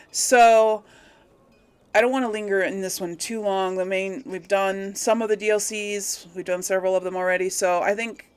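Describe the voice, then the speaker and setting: A woman hosting a let's play, talking informally to her viewers and thinking aloud as she goes. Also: medium pitch. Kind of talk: casual gaming commentary to viewers.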